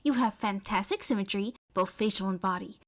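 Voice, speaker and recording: A woman's voice: mid-range voice, brisk, lightly acted. A woman voicing an eager, blunt, socially awkward young inventor girl in a story reading. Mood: bluntly friendly.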